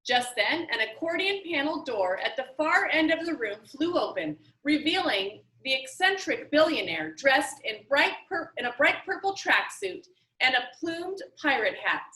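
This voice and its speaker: A woman's voice: sits high, big pitch swings, measured pace, sharp tone. A woman reading a children's novel aloud with dramatic, emphatic storytelling. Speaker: audiobook reader. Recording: roomy echo.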